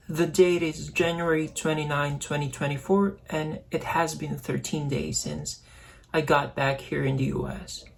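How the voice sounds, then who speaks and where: Filipino man vlogging, slightly creaky higher voice. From Philippines. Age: young adult. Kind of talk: casual vlog talk to camera.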